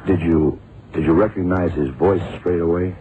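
A very deep-voiced, commanding investigator played by a man, terse and probing. He speaks in the measured style of vintage radio drama. The recording is narrow, muffled and clearly noisy. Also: close to an everyday voice. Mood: probing, polite. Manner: terse, probing, methodical questioning. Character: seasoned, shrewd male FBI investigator, commanding older colleague. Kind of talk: 1960s-70s radio drama acting.

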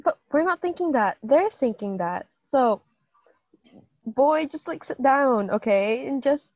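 A young woman from Hong Kong chatting casually with her sibling, animated and full of exclamations. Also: sits high, a little slow, no room sound, muffled recording. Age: late teens. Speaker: co-host, sister. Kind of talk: casual chat.

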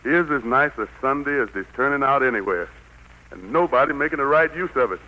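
A man playing the Lord as a gentle but stern, folksy preacher-like figure with a Southern US accent, in 1940s radio drama style. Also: medium pitch, fairly quick, close to an everyday voice, poor audio. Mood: wistful, gentle. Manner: folksy, admonishing. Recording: vintage 1940s radio broadcast.

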